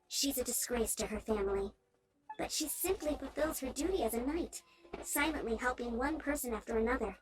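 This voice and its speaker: Woman as a gentle, high-voiced young woman. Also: natural voice, poor audio. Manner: polite, measured, earnest. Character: gentle, earnest, caring young woman townsfolk. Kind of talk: scripted video game character acting.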